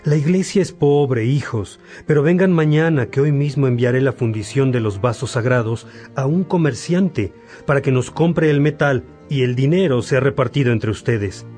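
A man acting as a kindly, wise bishop and judge in a radio drama, speaking in a measured, paternal, pastoral way. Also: medium pitch, a little mellow, lightly acted. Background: music.

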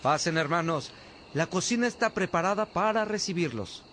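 Higher-voiced eager friar, noisy ambience. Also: male voice, expressive, normal speed, a bit bright. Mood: admiring. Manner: deferential, eager to please. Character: attentive, admiring friar who cooks for brothers. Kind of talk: radio drama acting. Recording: clear background ambience noise.

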